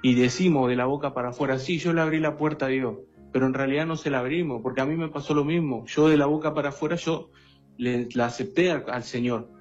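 A man's voice: mid-range voice, steady tone, fast talker, a little mellow. A man, preaching and testifying earnestly, repeating phrases as he addresses the listener. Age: 20s. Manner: earnest, repetitive, often addressing listener as brother. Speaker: guest lay preacher. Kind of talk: personal testimony and exhortation. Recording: dull lo-fi sound, faint music behind.

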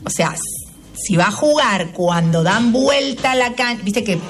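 A woman's voice: medium pitch, unhurried, soft background music. Chatty older Argentine woman, a touch distant. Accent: Rioplatense Spanish. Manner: chatty, animated storyteller who laughs often. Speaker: tarot and astrology host. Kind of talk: casual chat.

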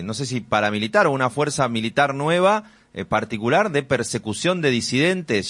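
A man's voice: medium pitch, a bit bright. Man with a Rioplatense accent asking questions. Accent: Rioplatense Spanish. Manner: long, searching questions with fillers. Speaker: interviewer. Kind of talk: interview questions.

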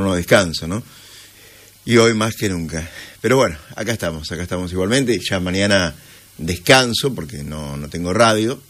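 A man's voice: low voice, fairly bright tone. A sleepy man with an Argentine accent hosting a morning radio show, chatting casually and unhurriedly with listeners.

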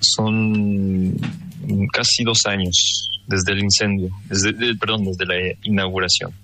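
A man's voice: lowish voice, level tone, slow pace, bright, forceful tone, rough recording. A Mexican man interviewed by phone, answering thoughtfully with many fillers. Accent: Mexican Spanish. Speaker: interview guest.

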